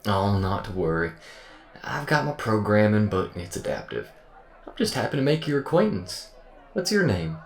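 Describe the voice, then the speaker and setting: A man's voice: lowish voice, huge pitch swings, moderate pace, creaky, reverberant, heavily acted. A man playing a cheery, folksy, sweet-talking AI helper with a Southern US accent, in a scripted audio roleplay. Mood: cheerful, welcoming. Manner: chirpy, friendly, chuckling, folksy.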